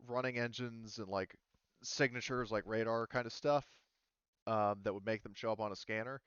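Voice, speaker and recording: A man's voice: fairly low pitch, fairly bright tone, clear, resonant tone, no echo, dull audio. A man acting as game master, casually narrating and explaining rules in a hesitant, rambling way.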